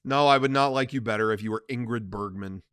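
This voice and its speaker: A man voicing a quiet, guarded young man who answers tersely. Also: medium pitch, fast talker, smooth, no room sound, studio quality, natural voice.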